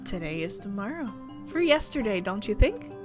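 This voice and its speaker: A woman's voice: medium pitch, sing-song, moderate pace, over music, natural voice. A woman voicing an eccentric, playful female tea-party host with a whimsical, teasing manner in a visual novel. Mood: playful riddling.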